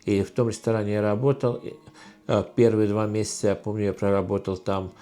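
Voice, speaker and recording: A middle-aged man from Estonia with an Estonian accent, talking casually in a question-and-answer vlog, halting with many fillers and restarts. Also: on the low side, flat delivery, warm tone, soft background music. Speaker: channel host.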